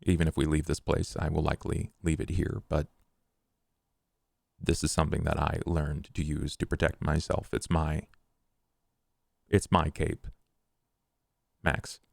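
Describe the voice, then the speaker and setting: A man with a gravelly voice doing improvised tabletop roleplay and narration in a measured, halting delivery. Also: extremely low pitch, level tone, a little mellow, no echo, studio quality. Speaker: player in a tabletop roleplay podcast.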